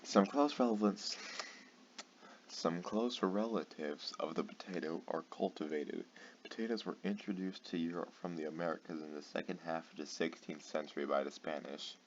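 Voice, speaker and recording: Tired low-voiced man reading sarcastically. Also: normal speed. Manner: stumbling reading, sarcastic asides, swearing. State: tired late at night. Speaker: channel host. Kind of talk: comedic reading aloud. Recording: dull lo-fi with faint noise.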